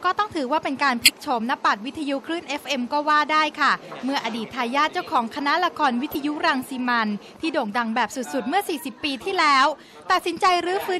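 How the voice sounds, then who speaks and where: A woman's voice: sits high, sharp tone. A woman narrating a television news feature from a script in a polite, polished presenter manner.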